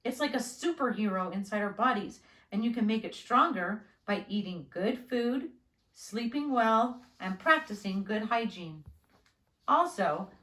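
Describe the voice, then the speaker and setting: A woman voicing a calm, reassuring father who patiently explains things to his child in a storybook. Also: mid-range voice. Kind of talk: children's storybook read-aloud. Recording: roomy, echoing indoor sound.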